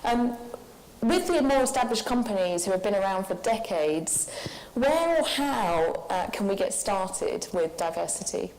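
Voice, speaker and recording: A soft-spoken woman moderating a lecture, politely putting questions to the audience and the speaker. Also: medium pitch, expressive, measured pace, low-quality recording. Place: lecture hall with live audience.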